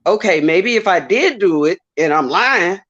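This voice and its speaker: A woman's voice: lowish voice, expressive, normal speed, fairly bright tone, highs cut off. A woman with a Southern US accent, calling into a show and chatting emotionally, laughing often. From Southern United States. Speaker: caller. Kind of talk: casual call-in chat.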